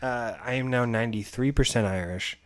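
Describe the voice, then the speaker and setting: A man co-hosting a podcast in casual chat, with a joking, deadpan teasing manner. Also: mid-range voice, fairly quick, no room sound. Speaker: podcast co-host. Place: indoor room shared with co-host.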